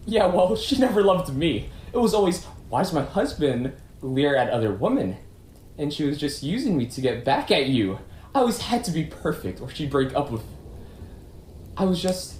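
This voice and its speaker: A man performs an emotional, defensive suspect in puppet show melodrama. His pitch swings widely and his delivery is halting, in a roomy, echoing space. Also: darkish tone. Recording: roomy echo with faint background noise.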